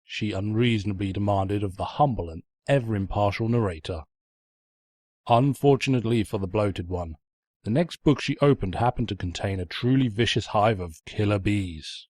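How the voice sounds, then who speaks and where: Casting: male story narrator, raspy, mock-pompous and sardonic, very dry close recording. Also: fairly low pitch, moderate pace, a little mellow, no room sound. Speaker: narrator, fan fiction reader. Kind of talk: theatrical scripted story narration.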